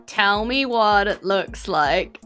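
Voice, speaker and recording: A woman with a New Zealand accent narrating as game master, in an informal, descriptive and animated way. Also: medium pitch, slow pace, faint music behind. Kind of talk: improvised tabletop game narration.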